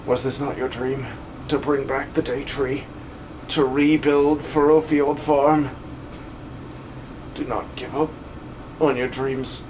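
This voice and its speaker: A man voicing a gentle old pastor on his deathbed in a fantasy game fan dub, speaking slowly and solemnly in halting phrases. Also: mid-range voice, little pitch movement, background noise, close to an everyday voice. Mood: tender, fading.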